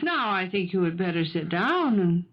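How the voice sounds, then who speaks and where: Prim, lively housekeeper, dull recording. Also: female voice, fairly low pitch, moderate pace. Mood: puzzled, soothing. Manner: formal, proper, polite servant's diction. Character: prim, brisk, take-charge female housekeeper. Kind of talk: old-time radio mystery drama acting. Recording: dull 1970s radio broadcast recording.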